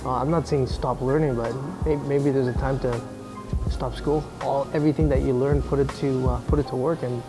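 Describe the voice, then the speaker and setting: A man's voice: medium pitch, steady tone, brisk. Casual man in his forties, blunt business Q&A, music behind, restarts lines. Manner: blunt, conversational, frequent restarts. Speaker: business owner answering viewer questions. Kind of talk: casual Q&A chat. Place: indoor atrium of a mall or coffee shop. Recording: music under the voice. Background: background music.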